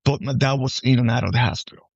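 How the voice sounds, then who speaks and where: A man's voice: mid-range voice, gravelly, no echo. A man in his forties from Puerto Rico talks casually in a mid-range voice. He restarts and repeats words, often seeking agreement. The sound is dry and a little lo-fi. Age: 40s. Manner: halting, repeats words, often checks with "right?". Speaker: podcast guest, army veteran. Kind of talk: casual personal conversation.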